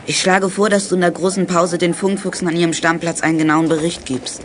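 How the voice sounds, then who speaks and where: A male actor playing a calm, reassuring teenage detective in an audio drama, with a friendly manner. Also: fairly high pitch, brisk, a little hiss or hum, close to an everyday voice. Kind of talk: German youth audio drama acting.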